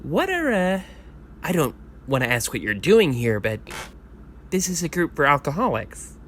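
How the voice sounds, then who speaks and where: A man playing a polite support group leader who moderates with halting fillers and hesitations. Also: on the high side, huge pitch swings, fairly bright tone, a little hiss or hum. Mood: polite puzzlement. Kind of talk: amateur audio play acting.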